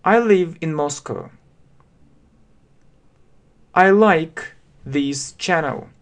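A man in his thirties with a Russian accent, teaching a language lesson very slowly, spelling out phrases word by word and repeating them at a desk webcam. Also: on the high side. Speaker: English teacher. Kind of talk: slow step-by-step language lesson. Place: small room indoors.

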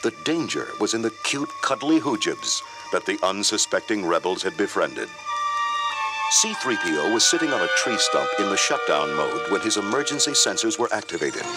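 A man's voice: on the low side, poor audio. A man narrating a scripted read-along storybook. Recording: loud music under the voice.

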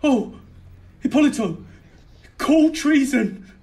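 Man playing a slow-spoken, lecherous old duke. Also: very high voice, lively intonation, a little mellow, full character voice. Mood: agonised, horrified. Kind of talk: Jacobean verse audio drama.

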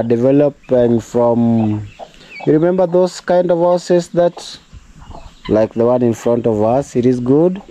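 A young Kenyan man with a Kenyan accent talks casually to camera outdoors at his family home, and English is not his first language. His voice is mellow and relaxed, pitched in the middle range. A faint background noise sits under the speech. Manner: chatty, preachy, addresses viewers as guys. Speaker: vlogger. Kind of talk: casual vlog talk to camera.

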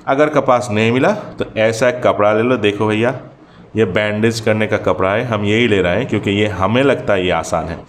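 A man's voice: on the low side, normal speed, a little hiss or hum. A man whose first language is Bengali hosts a hands-on fishing tutorial, chatting through each step and calling the viewer brother. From Bangladesh. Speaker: fishing channel host.

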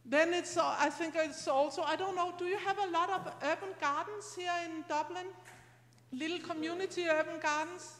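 A middle-aged woman gives an informal academic talk as a university lecturer, speaking in a halting, conversational, explanatory way into a handheld microphone. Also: very high-pitched, fairly even intonation, a bit bright. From Scandinavia. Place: large lecture room, indoors. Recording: reverberant lecture hall PA.

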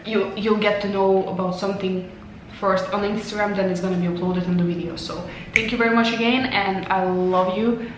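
Young woman vlogging to her camera, not a native speaker, kinda hoarse, echoey tiled bathroom with faint room hum. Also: medium pitch. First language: not English. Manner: chatty, laughs often, non-native phrasing. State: losing her voice. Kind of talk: casual vlog chat. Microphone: handheld camera at arm's length.

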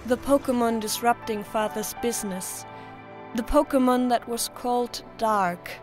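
A woman voicing a solemn, devoted young monster trainer in a manga dub, soft and wistful in delivery. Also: fairly high pitch, a little slow, natural voice. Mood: wistful, resolute. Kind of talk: dramatic flashback narration in a manga dub. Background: music.

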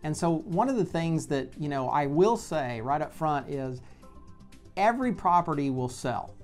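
A man in his forties speaking as a real estate video blog host, explaining in a measured, conversational way with pauses mid-phrase, recorded on a lapel mic in an office. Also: on the high side, expressive, a little mellow, soft background music. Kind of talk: explanatory talk to camera. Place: bright office by large windows, indoors.

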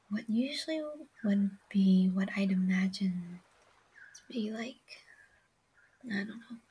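An extremely breathy woman speaks mostly in a whisper, as in an ASMR audio log. She rambles casually and confidingly straight to her listeners, at an unhurried pace and a middling pitch. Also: rough recording. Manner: soft, confiding, addressing listeners directly.

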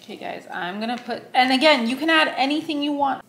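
A woman's voice: sits high, animated, fast, gravelly, a bit bright. A woman in her thirties chatting playfully to viewers while cooking in a home kitchen, teasing her family. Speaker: vlog co-host and mom cooking. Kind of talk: casual family cooking vlog chat. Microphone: camera mic at a distance. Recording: echoey kitchen with background noise.

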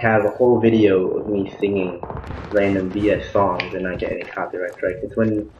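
Low-voiced young man joking through gameplay. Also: highs cut off, background noise. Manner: joking, rambling, drawn-out deadpan asides. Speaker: gameplay commentator. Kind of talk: casual gaming chat. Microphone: desktop mic with audible clicking. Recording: echoey room, loud gunfire behind. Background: video game gunfire.

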